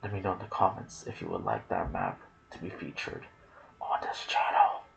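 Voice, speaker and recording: A man giving hushed ASMR gaming commentary, musing softly and often dropping toward a whisper. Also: deep voice, big pitch swings, moderate pace, rough recording. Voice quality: soft, breathy, partly whispered. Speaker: gaming video creator and host. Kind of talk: ASMR gaming commentary mixed with casual chat.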